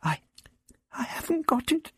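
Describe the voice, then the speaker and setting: A man's voice: measured pace, bright, forceful tone, no echo, clean studio sound. A man voicing a frightened, apologetic young girl in a storybook reading, stammering and pleading. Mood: anxious, stammering.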